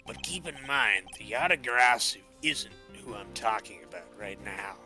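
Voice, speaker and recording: A man's voice: lowish voice, animated, moderate pace, bright, forceful tone, faint music behind. A man playing an earnest detective in a video game fan dub, explaining things plainly. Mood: reporting. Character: earnest male detective reporting on a crime scene.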